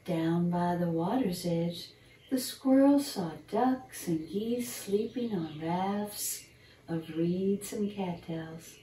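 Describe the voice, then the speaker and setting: An older woman reads a children's picture book in a gentle, unhurried, expressive bedtime style, talking to young listeners. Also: fairly low pitch. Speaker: storyteller reading a picture book. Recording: roomy, distant mic, faint background noise.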